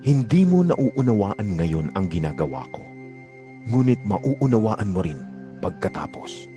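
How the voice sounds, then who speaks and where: Guy playing a solemn male teacher in a bible audio drama, low mellow voice, lots of rise and fall, earnest. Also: sing-song, a little slow, music in the background. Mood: calm, firm.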